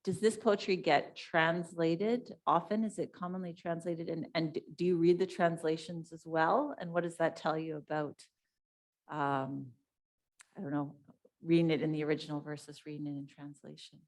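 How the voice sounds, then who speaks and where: A woman with a low voice moderates questions after a talk. She asks gentle follow-ups, halting often to search for words. Her voice sits at the bottom of the usual range. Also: fairly even intonation. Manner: hesitant, many ums and uhs, gentle follow-ups. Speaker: lecture series moderator. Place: lecture room.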